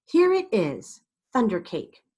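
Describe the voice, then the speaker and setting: Woman acting old grandmother, storybook, husky, kindly, reassuring, folksy, encouraging. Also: medium pitch, wildly swooping pitch, slow, dark tone, pitched above the performer's own voice, full character voice. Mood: eager.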